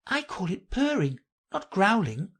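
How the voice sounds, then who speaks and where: A woman's voice: medium pitch, very animated, a little slow, slightly rough, pitched above the performer's own voice, heavily acted. A woman voicing a polite, puzzled little girl from a children's storybook, earnest and a little timid. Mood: doubtful.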